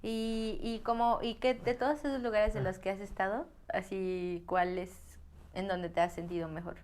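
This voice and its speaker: A woman hosting a casual interview, laughing often and using relaxed slang. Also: medium pitch, moderate pace, clear, resonant tone. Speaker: podcast host.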